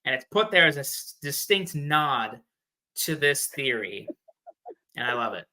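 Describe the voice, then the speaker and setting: A man's voice: medium pitch, animated, a little slow, sharp tone. A man in a casual fan discussion, explaining things in a slangy, playful way as a podcast guest.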